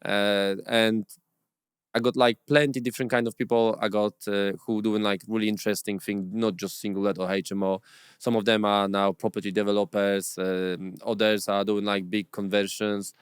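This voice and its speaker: A man who is a property investor, telling his story as an interview guest in halting, non-native English with many fillers and restarts. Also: on the low side, little pitch movement, clear, resonant tone, dry recording, studio quality.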